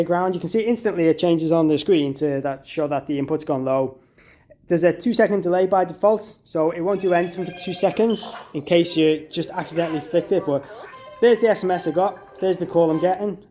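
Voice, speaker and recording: A man's voice: fairly high pitch, mellow. A man giving a casual, step-by-step product walkthrough as a demonstrator, in an informal, conversational way.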